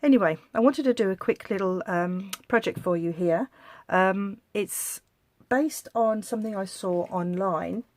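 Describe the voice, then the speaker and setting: A woman's voice: mid-range voice, unhurried, a little mellow, no echo. A woman with a slight head cold, giving a chatty, rambling step-by-step craft demonstration and often correcting herself. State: has a head cold coming on. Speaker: craft tutorial host.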